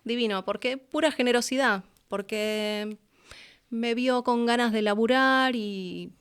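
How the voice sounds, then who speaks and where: A woman's voice: a little high, a little slow, a bit bright, clean tone. A woman with an Argentine Rioplatense accent telling stories conversationally as an interview guest, acting out bits of dialogue as she goes. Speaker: interview guest, voice-over artist and producer. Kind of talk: casual conversational storytelling.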